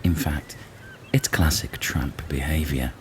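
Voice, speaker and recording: A gravel-bottom deep, deadpan wiseguy; noisy lo-fi take. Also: male voice, a little slow. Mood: dry. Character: deep-voiced grumpy bloke, dry know-it-all. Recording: noisy, overdriven amateur audio.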